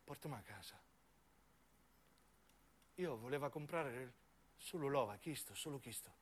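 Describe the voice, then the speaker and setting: Male actor as frail old woman, southern Italian accent, low hushed pleading, humble, bewildered, radio play. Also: expressive, measured pace, husky. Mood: weak, bewildered.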